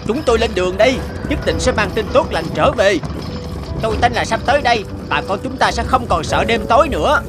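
A man voicing an eager, earnest young villager adventurer in an animated fairy tale, speaking emphatically. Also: high voice, a little fast, over loud music. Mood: eager, determined.

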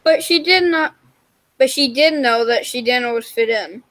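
Dry, fairly high teenage girl's voice. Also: moderate pace, fairly bright tone, no room sound. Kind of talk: reading a picture book aloud. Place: indoors on a video call.

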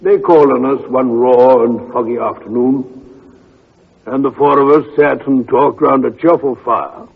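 An actor playing a grave gentleman narrator recounting a case in a radio drama. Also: mid-range voice, a little slow, gravelly. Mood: measured, reflective. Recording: muffled old radio drama, reverb, music behind.

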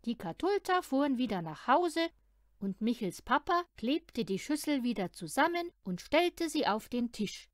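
High, lively woman reading a children's story. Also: measured pace, dry recording, studio quality. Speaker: audiobook reader of children's stories. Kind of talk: expressive storytelling narration for children.

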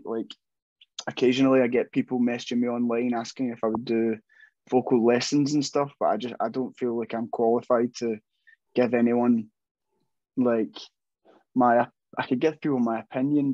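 A man's voice: medium pitch, dark tone, dry recording. A young man, a metal vocalist, chatting casually in an interview over a video call, rambling with many restarts and self-deprecating asides. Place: home room, indoors. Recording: dull, dry video call audio.

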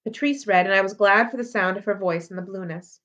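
A woman's voice: mid-range voice, a little fast, fairly bright tone. A woman reading a children's novel aloud expressively, as a library storyteller. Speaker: library read-aloud reader. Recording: dull lo-fi audio.

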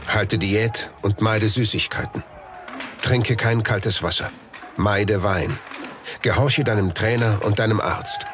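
An actor voicing a solemn figure of the ancient world in a documentary voice-over. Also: male voice, fairly low pitch, takes it slowly. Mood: stern, instructing. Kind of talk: dramatic documentary voice-over reading.

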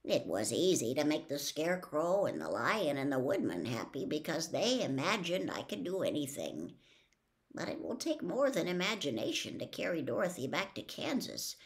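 A woman's voice: lowish voice, wildly swooping pitch, normal speed. Woman reading as a mild humbug wizard. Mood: confiding. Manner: mild, confiding, gently pleasant. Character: humble male wizard, a self-admitted humbug.

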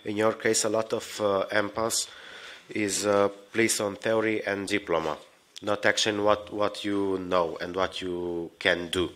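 A young man from Romania speaks from the audience in a large conference hall, his voice low and flat. He hesitates between words, dropping "uh"s as he builds his question into a desk microphone. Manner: hesitant, halting with fillers. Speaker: audience member asking a question.

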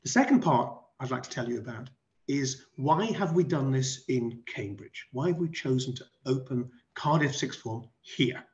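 Middle-aged male college head from West Wales, mid-pitched, explanatory and emphatic online presenter, muffled video call sound. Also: lively intonation, normal speed, a little mellow. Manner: explanatory, rhetorical questions, emphatic repetition. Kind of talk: online presentation to prospective families. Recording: dull band-limited video call audio.

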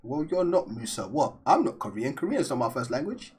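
Reaction host, young man from Denmark, relaxed and jokey, mid pitch. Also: fairly quick, husky. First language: Danish. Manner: laid-back, joking, slangy. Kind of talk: casual reaction commentary. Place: small room indoors.

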